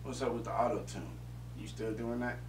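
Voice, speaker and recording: A man's voice: mid-range voice, steady tone, brisk. Echoey american guy hosting a chat, slangy, off the mic w some hum. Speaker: interview host. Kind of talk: casual interview chat. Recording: roomy, distant mic, humming noise.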